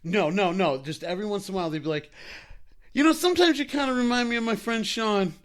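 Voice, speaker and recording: A man co-hosting a comedy podcast, excitably telling improvised stories in casual banter, swearing and playing out imagined dialogue. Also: high voice, fast talker.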